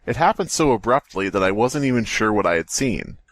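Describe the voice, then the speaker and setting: A man's voice: fairly low pitch, lively intonation, fairly quick, dry recording. A man co-hosting a podcast, reading a story aloud and breaking in with mocking, sweary asides. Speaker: podcast co-host. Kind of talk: casual group banter and story reading.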